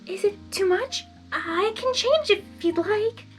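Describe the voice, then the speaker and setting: A woman voicing a gentle, shy young female pony in a story reading, soft-spoken and hesitant. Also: extremely high pitch, expressive, slow, faint music behind, voice pushed up, exaggerated voice. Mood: shy, unsure.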